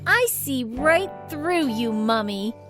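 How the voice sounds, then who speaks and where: A haughty skeleton villainess from a cartoon, acted by a woman, sneers down at everyone with theatrical grandeur. The voice is high and sweeping, drawn out slowly. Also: expressive, a bit bright, soft background music. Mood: sneering. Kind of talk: animated film voice acting.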